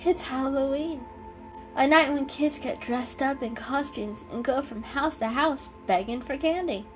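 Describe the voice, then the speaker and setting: A woman's voice: sits high, a little slow, soft background music, pitched above the performer's own voice. A woman voicing a kindly female calico cat in a storybook reading, explaining things patiently and knowingly. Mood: kindly, cheerful.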